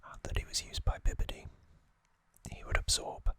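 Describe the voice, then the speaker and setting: A man hosting ASMR in a breathy whisper, gently recapping trivia in short, halting phrases. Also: no echo. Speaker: ASMR host.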